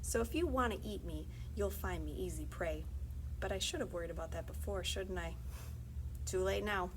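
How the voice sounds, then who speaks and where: A woman's voice: mid-range voice, moderate pace, lightly acted. A woman voicing a plucky, wry twelve-year-old boy in a storybook read-aloud, bold and frank. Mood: resigned, frank. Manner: bold, frank, wryly cheerful. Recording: clearly noisy background.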